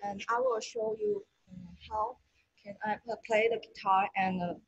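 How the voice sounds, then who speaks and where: A young woman introducing herself haltingly over a video call from a large room. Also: a little high, huge pitch swings, creaky, muffled recording, noisy background. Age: young adult. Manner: hesitant, halting. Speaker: exchange student.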